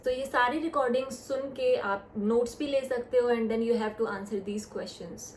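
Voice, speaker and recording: A woman's voice: a little high, a little mellow. A young woman with an Indian English accent walking viewers through an exam in a casual step-by-step tutorial. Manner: explains step by step, slows down on English phrases. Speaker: test-prep YouTuber. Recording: echoey room with faint noise.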